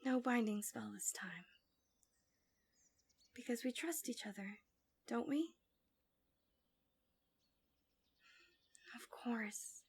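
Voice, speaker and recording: A tender but manipulative villainess acted by a woman speaks in an intimate ASMR roleplay. Her voice sits a little high and stays gentle and breathy, often sinking into a whisper. She coaxes and soothes like a possessive, seductive captor. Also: slow, full character voice. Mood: gentle coaxing.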